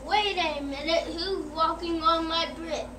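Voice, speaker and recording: A child performer playing a mean, rude old troll in a school readers theater, reading in a halting way. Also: very high voice, steady tone, crowd noise. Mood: demanding, threatening. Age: child, about eight or nine. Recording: echoey, noisy room, far from mic.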